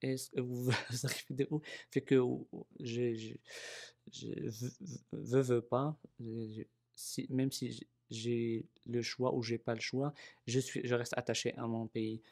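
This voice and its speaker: A man's voice: mid-range voice, flat delivery, a little slow, darkish tone. Hesitant man with a Moroccan accent. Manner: hesitant, repeats words while searching. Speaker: oral history interviewee. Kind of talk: recorded personal interview.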